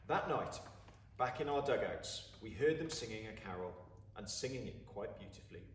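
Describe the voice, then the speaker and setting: A man reading a story aloud with expressive, deliberate, dramatic phrasing. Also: on the low side. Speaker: story reader. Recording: roomy, distant mic, faint background noise.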